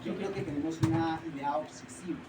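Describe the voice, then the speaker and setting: An actress addressing a theater audience in an emphatic, declamatory way. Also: female voice, deep voice, steady tone, fairly quick. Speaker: stage actor. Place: small theater with a live audience. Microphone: far camera. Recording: echoey room, far camera, audience noise.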